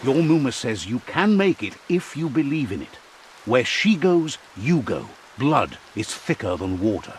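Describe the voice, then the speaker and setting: An actor playing a whimsical, wise storyteller who narrates an animal fable in a video game with theatrical, philosophical delivery. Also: male voice, medium pitch, sing-song, a little slow, slight background noise. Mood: wise, reflective.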